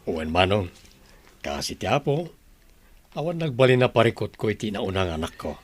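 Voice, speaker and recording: A man playing a humble, devoted father in a radio drama, with a husky voice and a gentle, expressive manner. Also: on the low side, moderate pace, faint music behind. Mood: earnest. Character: humble, devoted father proud of his children. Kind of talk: Ilocano radio drama acting.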